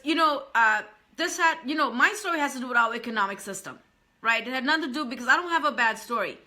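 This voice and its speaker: A woman's voice: on the high side, pushed and bright. A woman, earnestly talking to live-stream viewers as a business coach and often repeating herself. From Trinidad and Tobago.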